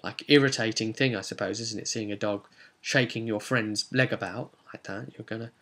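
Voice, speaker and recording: A man's voice: lowish voice, fairly even intonation, moderate pace, sharp tone. A man rambling hesitantly as a game master, casually recapping a tabletop role-playing session and often correcting himself.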